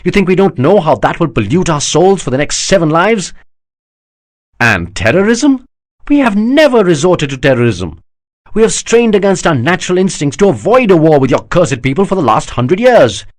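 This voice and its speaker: A man's voice: sits high, sing-song, normal speed, no room sound, pitched above the performer's own voice. A man reading an audiobook, voicing an indignant young prince who argues heatedly. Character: indignant young male prince defending his people. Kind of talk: audiobook character voice.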